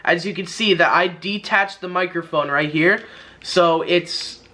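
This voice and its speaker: A man's voice: high-pitched, measured pace, pushed and bright. A young adult man hosting a casual tutorial to camera, often repeating and rephrasing himself as he goes. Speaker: YouTuber, tutorial host. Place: small bedroom gaming setup, indoors. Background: background music.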